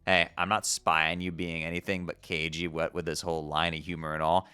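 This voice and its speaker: A man voicing a mopey, self-pitying teenage troll boy who talks low and sulky. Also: level tone, a little fast, sharp tone, clear, resonant tone, dry recording.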